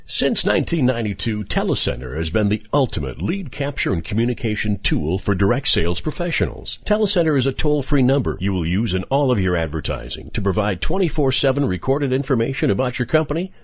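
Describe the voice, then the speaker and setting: A man announcing a scripted radio commercial in a polished sales pitch. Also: sing-song, quick pace, hardly any pauses, husky, no echo.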